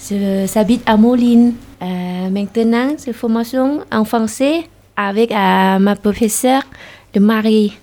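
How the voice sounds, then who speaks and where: A woman's voice: fairly high pitch, slow pace, darkish tone. A woman from Laos, speaking hesitant learner French.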